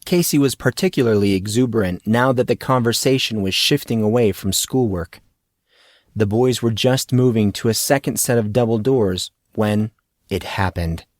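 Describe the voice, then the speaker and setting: A man's voice: lowish voice, normal speed, a little mellow, no room sound, clean studio sound. A man reading a scripted fantasy story as an audiobook narrator.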